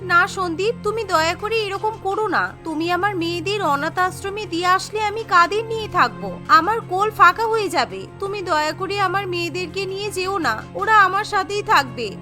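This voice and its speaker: Woman voicing a desperate, high-pitched cartoon mother. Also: lively intonation, normal speed, few pauses, over music. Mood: tearful pleading. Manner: pleading, lamenting, dramatic. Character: desperate tearful mother longing for a son. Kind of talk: melodramatic cartoon voice acting.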